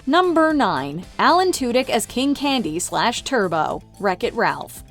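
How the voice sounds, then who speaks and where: A woman's voice: a little high, sing-song, measured pace, over loud music. A woman hosting a countdown video with scripted narration.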